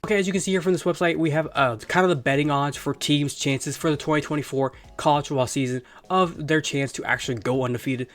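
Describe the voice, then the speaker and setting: Congested young man, fast sports rant. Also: fairly high pitch, fairly even intonation, fairly bright tone. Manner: rambling, fast, swears casually. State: congested from illness. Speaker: sports channel host. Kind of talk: casual sports talk video.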